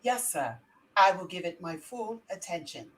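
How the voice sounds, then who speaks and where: A woman's voice: fairly low pitch, sing-song, moderate pace, a bit bright, far from the mic. A woman voicing an earnest, polite young male clerk, reading a script in a formal, deferential manner. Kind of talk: amateur script read-through.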